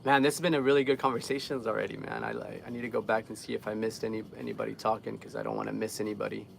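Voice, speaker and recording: A young man talks casually as a live streamer, in an earnest and rambling way. His voice sits a little low with a gravelly fry, and there is faint noise behind him. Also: level tone, racing. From Guatemala. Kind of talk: casual live chat with viewers.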